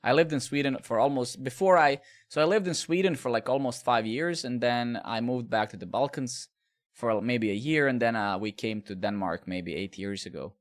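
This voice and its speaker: A middle-aged man from Serbia talks through his code on a live stream. His comes through in loose, rambling, profane chat aimed at his viewers, in a voice sitting at an ordinary middle pitch. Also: moderate pace. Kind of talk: casual live-coding chat.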